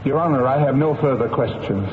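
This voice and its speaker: Actor as a formal prosecutor in echoing hall. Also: male voice, medium pitch, brisk, over loud music, natural voice. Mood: probing, formal. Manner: formal, declarative. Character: brisk, probing male courtroom prosecutor. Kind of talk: old radio drama acting. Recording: tinny echoing old radio, faint noise.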